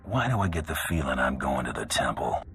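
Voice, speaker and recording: An actor playing a terse, deadpan male bounty hunter in a video game. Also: rumbling low, brisk, soft background music, full character voice.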